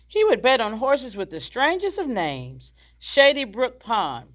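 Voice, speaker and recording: A woman's voice: a little high, sing-song, unhurried. A woman reading the part of a genteel, chatty, gracious older widowed hostess in a stage play. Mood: wistful, gracious. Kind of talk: stage play table read.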